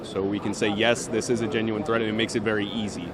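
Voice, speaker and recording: A man's voice: on the low side, little pitch movement, quick pace, heavy background noise. A man giving an unscripted, step-by-step demonstration of a product. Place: indoor trade show booth. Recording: busy trade show crowd behind.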